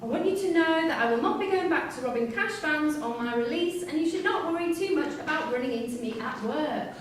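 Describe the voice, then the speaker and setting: A woman's voice: sits high, expressive, fairly quick. A woman playing a firm, sceptical prison course tutor in a staged play reading. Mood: reading aloud. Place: theatre room at a staged reading. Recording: echoey hall, distant mic, hissy ambience.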